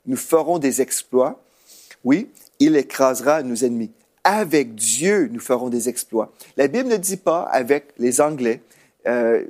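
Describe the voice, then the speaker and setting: French canadian guy, tv pastor, medium-pitched voice, teaching like he's chatting to viewers. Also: darkish tone. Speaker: pastor and TV show host. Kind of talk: televised preaching, conversational teaching.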